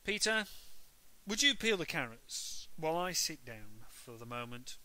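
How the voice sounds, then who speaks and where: A man's voice: fairly high pitch, very animated, measured pace, bright, forceful tone, no room sound, full character voice, low-quality recording. A man reading a storybook aloud, voicing a weary father who sounds exasperated and suspicious of his son. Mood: wary.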